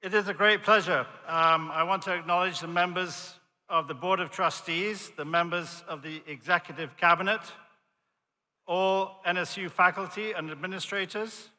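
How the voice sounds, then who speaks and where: A man's voice: high-pitched, steady tone, normal speed, pushed and bright. An older man from Jamaica with a Jamaican accent, giving a friendly, storytelling commencement speech from a podium. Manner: friendly, storytelling, self-deprecating. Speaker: commencement speaker. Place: large hall. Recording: reverberant hall.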